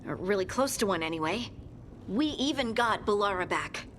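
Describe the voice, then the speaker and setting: Woman as loyal plucky fighter, mid-pitched, plain-spoken, sincere, determined, fantasy game. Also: lively intonation, moderate pace, a bit bright, slight background noise, lightly acted. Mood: wry, worried. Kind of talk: scripted fantasy game dialogue.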